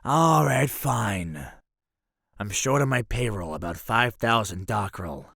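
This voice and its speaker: A man's voice: lowish voice, animated, slow pace, gravelly, fairly bright tone, husky, dry recording, studio quality, exaggerated voice. A man playing a roguish, flippant mercenary leader with a theatrical swagger in an audio drama. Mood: sheepish, frustrated.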